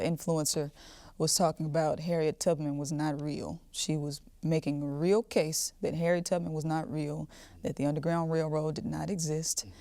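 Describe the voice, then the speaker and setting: A woman's voice: low voice, dark tone, no echo. A woman hosting a podcast, putting questions to guests in a conversational style. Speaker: podcast host, interviewer. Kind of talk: conversational interviewing.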